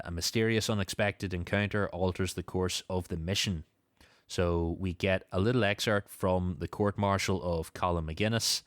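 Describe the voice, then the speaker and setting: A man's voice: sits low, no echo, studio quality. A man hosting a podcast, casually recapping and discussing a story with a co-host.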